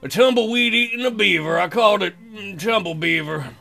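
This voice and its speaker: A man hosting a let's play in a bright, slightly high voice. He rambles and jokes crudely as he reads and comments, with guitar music underneath. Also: brisk, vocal fry. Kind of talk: comic game commentary and read-aloud.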